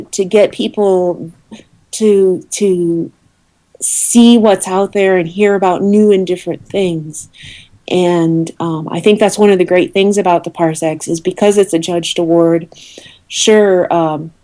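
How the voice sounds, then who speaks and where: A woman answering questions as an interview guest. Her voice sits a little low, with a very mellow, relaxed tone. She explains things earnestly in everyday conversational speech. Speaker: interview guest, awards committee representative.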